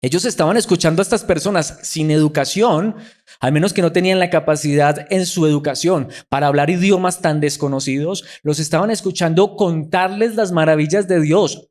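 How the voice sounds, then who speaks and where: A man's voice: fairly high pitch, darkish tone. A male pastor preaching a sermon, acting out quoted voices and asking the congregation rhetorical questions.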